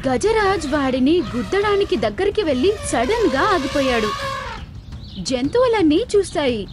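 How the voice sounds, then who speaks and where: Woman narrator, high pitch, lively intonation, children's story, expressive, music in background. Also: moderate pace.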